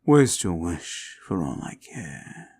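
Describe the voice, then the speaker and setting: Man playing a deep, gravelly, taunting demon. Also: big pitch swings, mellow, full character voice. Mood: condescending, menacing. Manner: slow, taunting, theatrical, with drawn-out words. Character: sinister mocking male demon, condescending soul-hungry tempter. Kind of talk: roleplay comfort ASMR acting.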